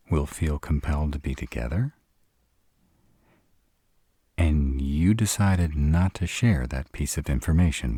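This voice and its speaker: Sardonic male vampire , played by a man for roleplay audio: husky, slow-paced, dramatic and grumpy, recorded close and dry. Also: a bass voice, dark tone, dry recording, exaggerated voice. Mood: suspicious. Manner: sarcastic, grumbling, dramatic. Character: sarcastic irritable male vampire, stranded in a human's home.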